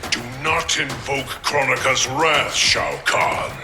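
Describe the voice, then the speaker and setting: Commanding emissary played by a man, loud music behind. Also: medium pitch, slow, natural voice. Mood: stern, warning. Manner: formal, commanding. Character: commanding male emissary, stern and warning. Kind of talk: video game cutscene acting.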